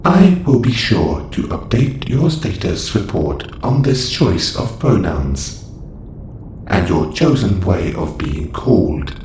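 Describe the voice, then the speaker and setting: A man acts out a calm, clinical robot caretaker in a science fiction audio roleplay. His deep male voice moves slowly through stilted, precise sentences. The recording is roomy and echoing. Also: exaggerated voice. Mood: clinical, instructive. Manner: stilted, precise, formal phrasing. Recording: roomy echo, faint background noise.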